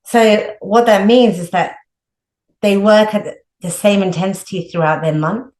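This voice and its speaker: A woman's voice: mid-range voice, measured pace, a little mellow. A woman health coach giving casual advice as an interview guest, chatty and full of "like" and "you know". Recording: roomy echo.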